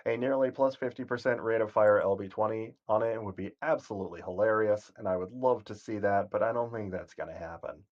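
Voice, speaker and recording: A man talks through a game stream in a calm, soothing voice that drifts and corrects itself as he goes. His voice sits low, and the stream audio is dull and lo-fi. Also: brisk, few pauses. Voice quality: soothing, sleep-inducing voice. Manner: calm, rambling, self-correcting. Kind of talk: casual gameplay commentary.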